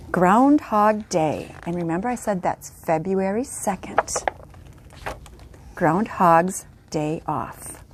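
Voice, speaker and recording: A woman librarian reading picture books aloud for children's story time, animated, with whispered asides. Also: mid-range voice.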